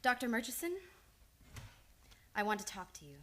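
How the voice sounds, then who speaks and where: An actress playing a composed, determined and caring psychoanalyst, earnest and theatrical in an old-time radio play. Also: female voice, a little high, very animated, normal speed, sharp tone. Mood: probing. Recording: studio radio play with music behind.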